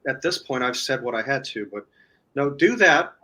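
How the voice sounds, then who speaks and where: A man's voice: medium pitch, expressive, moderate pace, bright, forceful tone. A man explaining an app as a guest in casual chat, rambling and repeating himself. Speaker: guest demonstrating a phone app.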